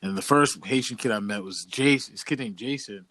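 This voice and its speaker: A man's voice: mid-range voice, brisk, pushed and bright, no room sound. Laid-back young man from Boston, dry sound. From Boston, USA. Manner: laid-back, loose, slangy storytelling. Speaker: podcast co-host. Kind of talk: casual chat.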